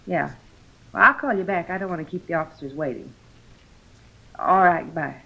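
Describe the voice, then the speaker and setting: An actress playing a worried, guilt-ridden sister in a 1950s radio drama, hesitant and apologetic, on a muffled old recording. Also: female voice, lowish voice, moderate pace, gravelly, husky. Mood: anxious.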